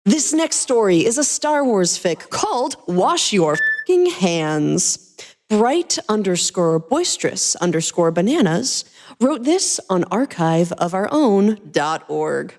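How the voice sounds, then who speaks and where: A woman's voice: medium pitch, sing-song, slow pace. A woman co-hosting a podcast, moving between banter and narrating stories aloud. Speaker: podcast co-host and musician.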